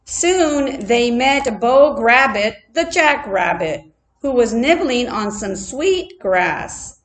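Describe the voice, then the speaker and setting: A woman reading a children's story aloud expressively, like a teacher, deliberate and adding playful asides for her listeners. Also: on the high side, expressive.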